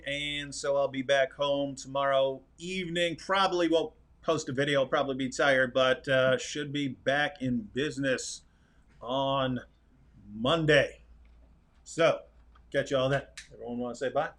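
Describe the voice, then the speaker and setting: A man hosting a livestream from a hotel, relaxed and folksy as he wraps up the show. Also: fairly high pitch, a little slow. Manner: relaxed, folksy, loose fillers. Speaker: livestream host. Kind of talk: casual livestream chat.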